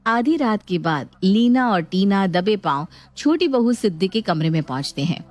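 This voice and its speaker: Mid-pitched woman storyteller. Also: expressive, normal speed, faint music behind. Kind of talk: scripted story narration.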